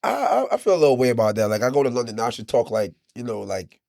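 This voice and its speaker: An American man banters casually as a podcast co-host. He is playful and quick to interject, talking fast with a lot of swing in his pitch. The recording is close and dry. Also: big pitch swings, creaky, darkish tone, no room sound.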